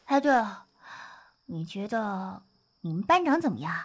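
A woman acting an affectionate, eager schoolgirl in a modern audio drama, with an expressive, earnest, girlish delivery. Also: mid-range voice, measured pace. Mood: thoughtful, admiring. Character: affectionate, eager schoolgirl, devoted to her best friend.

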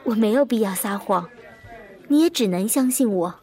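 An actress playing a composed, probing woman investigator in an audio drama, measured and introspective as she recalls a fateful case. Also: medium pitch, animated, a little mellow, close to an everyday voice. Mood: calm, firm. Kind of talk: audio drama narration and dialogue. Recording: echoey long reverb, music behind.

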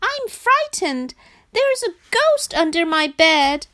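A woman's voice: extremely high pitch, very animated, slow pace, pushed and bright, voice pushed up, exaggerated voice. A woman voicing a small, frightened male frog in a children's storybook, pleading and alarmed.

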